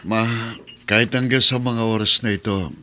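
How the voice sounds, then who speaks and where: A man's voice: fairly low pitch, steady tone, normal speed, bright, forceful tone, a little hiss or hum. A man playing an earnest, loyal young groom in a radio soap, pleading in Tagalog mixed with English. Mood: hurt, steadfast. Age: young adult. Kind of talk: Philippine radio soap opera acting.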